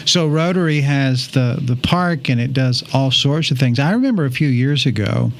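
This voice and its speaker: A man hosting a casual conversational interview, speaking in reflective, halting phrases. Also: medium pitch, expressive, vocal fry. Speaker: podcast host. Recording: background noise behind voice.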